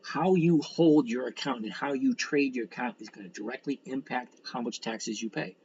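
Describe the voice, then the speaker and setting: A man's voice: mid-range voice, normal speed, a little mellow, dull audio, a little hiss or hum. A man of sixty chatting casually as a livestream host, reading out and answering comments in a blunt, folksy, rambling way with some mild swearing. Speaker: livestream host and stock trader.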